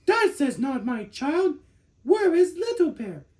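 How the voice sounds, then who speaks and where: Guy doing a mama bear from a picture book, high voice, protective, super animated. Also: takes it slowly, heavily acted. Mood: startled. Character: mother bear, protective and easily startled.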